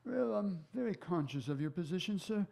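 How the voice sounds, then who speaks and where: High-voiced, courteous police inspector. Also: male voice, expressive, gravelly, warm tone. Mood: polite, apologetic. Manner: deferential, measured, polite. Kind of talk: stage radio drama acting.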